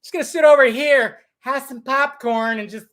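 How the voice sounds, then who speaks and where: A man with a distinctly high-pitched voice delivers off-the-cuff commentary as a show host. He sounds exasperated and blunt, hammers his points by repeating them, and swears freely. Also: normal speed, a bit bright. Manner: emphatic repetition of phrases. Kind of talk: unscripted ranting commentary.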